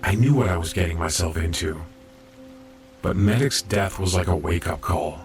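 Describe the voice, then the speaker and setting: A man's voice: deep voice, normal speed, faint music behind, lightly acted. A man playing an earnest, dedicated young robot medic in an audio drama, reflective and polite. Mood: somber, reflective. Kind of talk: audio drama narration and dialogue.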